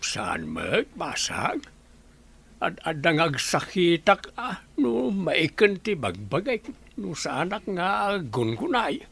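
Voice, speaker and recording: A folksy old working man in a radio comedy drama. His voice is gravelly and fairly high, swinging up and down as he chats in a kindly, deferential way. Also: huge pitch swings, takes it slowly, fairly bright tone, full character voice. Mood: affectionate. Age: older.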